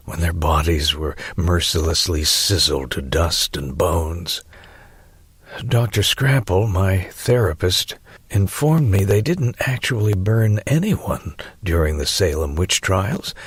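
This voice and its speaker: A man's voice: sits low, breathy edge, no room sound, exaggerated voice. A man playing a sardonic, aggrieved teenager who narrates an audiobook in a wry, emphatic way. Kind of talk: first-person audiobook narration.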